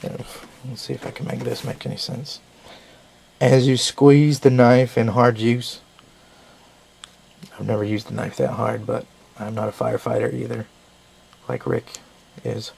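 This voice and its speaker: A man's voice: mid-range voice, level tone, unhurried, vocal fry, long pauses, a little mellow, slight background noise. A man, a little sick and losing his voice, giving a rambling casual knife review with frequent self-corrections.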